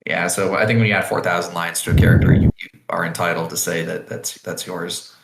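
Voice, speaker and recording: A man's voice: deep voice, steady tone, fairly quick, gravelly, background noise. A man co-hosting a podcast, reading listener questions in laid-back casual chat with dry quips. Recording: echoey room.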